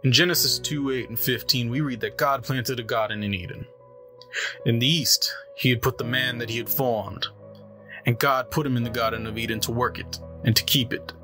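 A man playing a solemn pastor who delivers a measured, reverent funeral eulogy in an audio drama. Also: mid-range voice, pushed and bright, lightly acted. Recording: music behind the voice.

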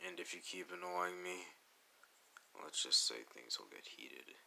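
A man playing a brooding, menacing young anime villain in an ASMR audio roleplay, deadpan and irritable with a grudging fondness. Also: deep voice, creaky, heavily acted, low-quality recording. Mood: irritated, threatening. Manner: deadpan, slow, irritable, teasing threats.

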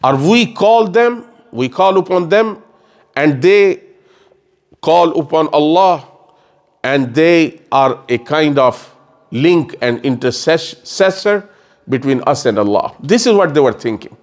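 A man's voice: on the high side, animated, takes it slowly. A middle-aged man giving a sermon lecture in a mosque, explaining earnestly and repeating phrases as he gestures, into a stand mic on a table. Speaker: religious scholar. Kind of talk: sermon lecture with Quran recitation. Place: mosque lecture room, indoors.

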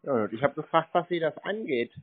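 A man's voice: on the high side, lively intonation, fairly quick, slightly rough, soft background music. Curt man on a tinny phone line. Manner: curt, stonewalling, contrary. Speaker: scam-baiter taking phone calls. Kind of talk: phone conversation. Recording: tinny phone-quality audio.

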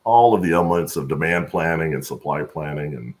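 An American man in his forties with a gravelly voice, answering conversationally as an interview guest on a video call. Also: extremely low pitch, expressive, fairly quick. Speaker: guest, supply chain executive. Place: room at home on a video call.

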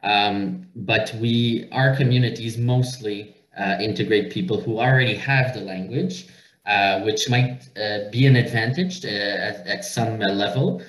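A French-Canadian man presents over a video call, pausing often with "uh" as he looks for his words. His voice sits low, and the room around him rings with echo. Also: fairly even intonation. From Northern Ontario, Canada. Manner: hesitant, many filler uh's, searching for words. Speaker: organization director, webinar speaker.

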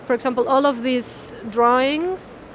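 A woman, talking haltingly and thoughtfully about her artwork, often tagging phrases with "no?". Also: high-pitched, a bit bright, a little hiss or hum. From Mexico. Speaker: artist. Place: large indoor art fair hall. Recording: crowd chatter in a busy hall.

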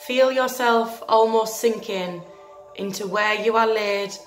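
A woman leading a guided meditation in a gentle, soothing, deliberate manner, leaving long pauses between phrases. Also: a little high. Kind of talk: guided meditation, scripted narration. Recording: roomy echo with faint music behind.

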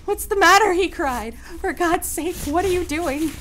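An actress plays a woman losing her mind in confinement, in a very high voice. She confides in the listener theatrically, with secretive, childlike obsession, as in an audio drama monologue. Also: moderate pace, a bit bright, a little hiss or hum. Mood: eerily gentle.

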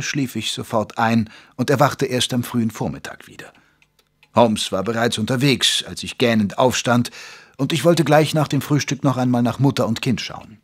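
An ageing Victorian doctor and loyal companion , acted by a man, with a husky edge to his mid-pitched voice. He tells his detective tale in measured, literate phrases with dry wryness, in audio drama style. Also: natural voice. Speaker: narrator and character in an audio drama. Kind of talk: German audio drama narration and dialogue.

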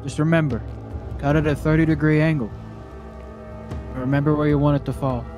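A man's voice: on the high side, fairly even intonation, brisk, a little mellow, faint music behind, lightly acted. A man playing a blunt, folksy lumberyard co-owner in an improvised online roleplay, giving short instructions. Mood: instructing.

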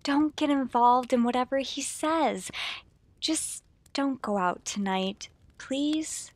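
A woman's voice: high-pitched, takes it slowly, slight background noise. A woman playing a secretive, sweetly domestic suburban wife in a radio play. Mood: pleading.

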